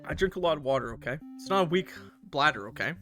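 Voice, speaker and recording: A man chatting and commentating on a livestreamed game in an excitable, playfully combative way, bantering with viewers and swearing freely. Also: a little high, fast talker, music in the background. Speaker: live streamer playing a game. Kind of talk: animated casual livestream chat and game commentary.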